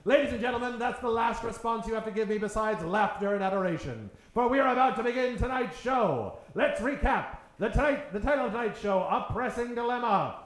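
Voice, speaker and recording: A man's voice: extremely high pitch, animated, brisk, hardly any pauses. A man hosting a live improv show in mock old-time radio style, theatrical and tongue-in-cheek, addressing a theater audience. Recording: roomy, echoing theater stage.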